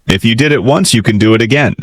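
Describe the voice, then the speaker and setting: A man's voice: medium pitch, fast, no room sound, natural voice. A man voicing a demanding, threatening king who gives suspicious commands in a fairytale storybook. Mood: stern, threatening.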